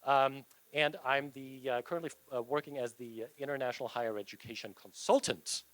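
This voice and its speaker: A man's voice: medium pitch, steady tone, brisk, dry recording, low-quality recording. A man moderating a live panel discussion at an event, upbeat and loose, joking with the audience between questions.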